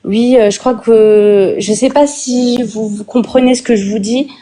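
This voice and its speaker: Woman interviewee, earnest and halting, warm slightly high voice. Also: steady tone. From France. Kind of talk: defensive personal account.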